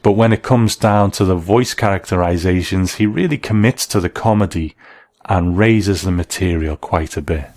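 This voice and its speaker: A man presenting a scripted review in a measured, reflective manner. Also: low voice, a little mellow, no room sound. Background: background music.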